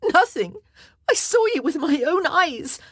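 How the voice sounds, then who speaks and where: A woman's voice: sing-song, unhurried, a bit bright, dry recording, heavily acted. A woman voicing an anguished young queen who screams and sobs in jealous outbursts, in a dry, close recording. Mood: distraught. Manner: sobbing, high, screaming outbursts.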